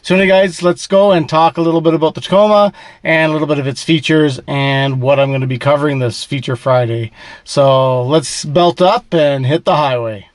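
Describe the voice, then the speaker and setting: A man's voice: a little high, steady tone, a little fast, dry recording. A man hosting a vlog, chatting casually and a bit ramblingly to his viewers as he reviews gear. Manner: chatty, rambling, addresses viewers as guys and gang. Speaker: host of a car gear video series.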